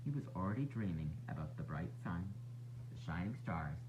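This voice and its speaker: A man narrating a children's storybook as a teacher would, expressive and instructive for young listeners. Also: low voice, moderate pace, background noise. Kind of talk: children's read-aloud narration.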